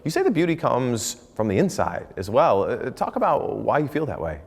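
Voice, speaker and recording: A man's voice: medium pitch, huge pitch swings, moderate pace, gravelly, slightly rough, long reverb tail. A man hosting an interview show, upbeat and enthusiastic. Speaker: talk show host.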